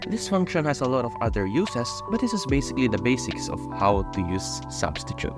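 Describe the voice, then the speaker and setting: A man's voice: medium pitch, a little fast, over loud music. Filipino young man explaining spreadsheet steps. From Philippines. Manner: steady explanatory step-by-step delivery. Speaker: tutorial host. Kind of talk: screen-recorded software tutorial.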